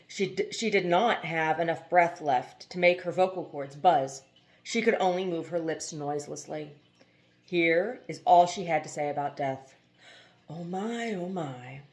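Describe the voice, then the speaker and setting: A woman's voice: sits low, normal speed. A woman reading a novel aloud as scripted narration, now and then stumbling over a word. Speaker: amateur audiobook reader.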